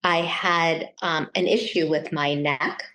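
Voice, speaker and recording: Soft-spoken woman, hesitant and low. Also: steady tone, slow pace. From Taiwan. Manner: hesitant, many restarts and fillers. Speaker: interview guest. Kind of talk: casual conversational interview.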